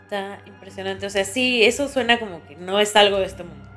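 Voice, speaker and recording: A woman's voice: medium pitch, fairly quick, a bit bright, soft background music. A woman with a Mexican accent chatting casually as a podcast co-host, reacting in colloquial phrases and asking questions. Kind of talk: casual chat.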